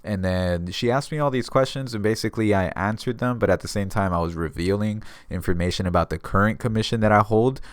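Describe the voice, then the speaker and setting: American guy doing casual advice vlog, real low clean voice, super dry sound. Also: fairly quick, hardly any pauses, no room sound. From San Diego area, California. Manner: conversational, explains by retelling exchanges. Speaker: notary giving advice to viewers. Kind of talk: casual instructional vlog talk.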